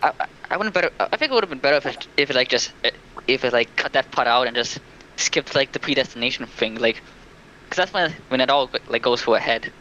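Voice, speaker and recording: A man's voice: medium pitch, animated, fast talker, bright, forceful tone. A man giving his opinions hesitantly in a casual livestream discussion, leaning heavily on filler words. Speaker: stream participant. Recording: dull lo-fi mic, faint noise.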